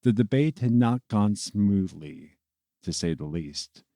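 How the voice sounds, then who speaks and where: A man narrating a scripted story as a dramatic storyteller, with measured, deliberate and theatrical phrasing. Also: lowish voice, expressive, creaky, warm tone, no room sound, clean studio sound.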